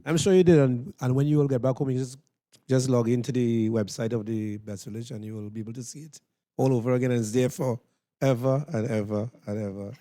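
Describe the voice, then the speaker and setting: Male interviewer, Trinidadian, soft-edged mid-range voice, conversational and rambling, dry close sound. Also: medium pitch, steady tone, a little fast, no echo. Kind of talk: casual backstage interview. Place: interview room just off stage.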